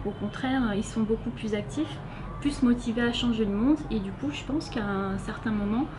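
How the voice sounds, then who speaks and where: A woman's voice: on the high side, normal speed. A young woman from France with a French accent, answering interview questions casually and hesitantly, with many filler sounds. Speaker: interviewee, volunteer. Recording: noisy background hiss.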